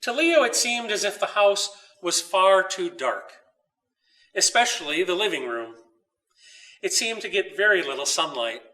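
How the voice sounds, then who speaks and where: Male storybook reader, higher-pitched and crisp-edged bright tone, deliberate phrasing, recorded a little off the mic. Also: sing-song, far from the mic. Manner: measured, deliberate storytelling with pauses. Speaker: author reading own story. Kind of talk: children's storybook read aloud. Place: old dairy barn.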